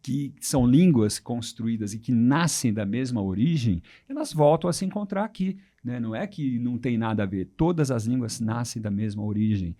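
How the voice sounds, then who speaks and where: A Brazilian man with a mellow, relaxed tone and a mid-range voice. He talks through his ideas in a thoughtful, explanatory way, often tagging his points with small check-ins. It suits a podcast conversation. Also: mid-range voice, moderate pace, few pauses. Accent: Brazilian Portuguese. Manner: thoughtful, explanatory, heavy use of tag questions. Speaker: Portuguese teacher.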